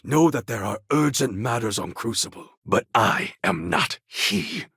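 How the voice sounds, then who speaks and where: A man playing a proud, fierce warlord in a video game, speaking in a grandiose, commanding way with a husky, gravelly voice. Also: mid-range voice, expressive, a little slow, a bit bright, no echo, studio quality, full character voice. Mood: grave, measured. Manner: grandiose, commanding, formal phrasing. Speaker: video game character voice actor. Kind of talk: dramatic video game character dialogue.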